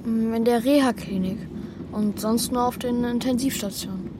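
A woman's voice: on the high side, moderate pace, noisy background, close to an everyday voice. A woman playing an anxious, sceptical young boy who acts and narrates in a children's radio play, sounding hesitant. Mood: doubtful. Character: anxious, doubting young boy, narrating his runaway adventure.

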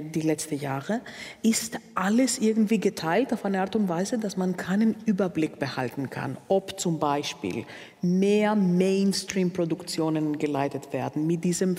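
Panel guest: a low-pitched woman with a warm, mellow tone who keeps searching for words, heard in a reverberant hall. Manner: searching for words, restarting sentences. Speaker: opera singer, panel guest. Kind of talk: panel discussion.

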